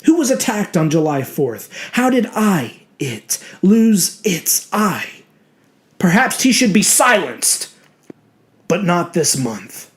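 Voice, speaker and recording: A man's voice: high voice, sing-song, slow pace, voice pushed up, heavily acted. A man voicing a petulant, self-righteous small-town reverend with a beast inside, preaching his own excuses in an indignant, brooding way for a horror audio drama. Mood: self-righteous, scheming. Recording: music behind the voice.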